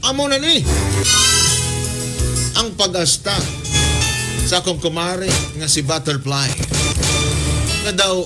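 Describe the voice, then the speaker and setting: An actor playing a troubled godparent confiding a grievance on radio, in deliberate, halting, emphatic dramatic narration. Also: male voice, sits high, big pitch swings, full character voice. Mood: hesitant, confiding. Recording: loud music under the voice. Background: loud music.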